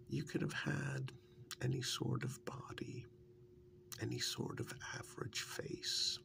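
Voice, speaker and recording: A man plays an intimate, teasing male partner in a soft ASMR role-play, reminiscing fondly. The voice is a half-whisper that breaks into heavy gravelly fry. He talks slowly and haltingly, as if confiding in one person. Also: mid-range voice, exaggerated voice. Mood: fond, candid.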